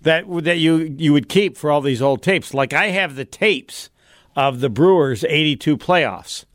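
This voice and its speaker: A man's voice: fairly high pitch, expressive, a little slow, fairly bright tone, no room sound, clean studio sound. A man co-hosting a sports radio show in casual chat, rambling and cutting himself off mid-thought. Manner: rambling, self-interrupting, chatty.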